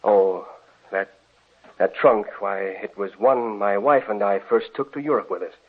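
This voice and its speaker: A man's voice: fairly low pitch, unhurried, slightly rough. An actor playing an earnest, grieving older father in a 1940s-style radio drama. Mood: uneasy. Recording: tinny, narrow old radio recording.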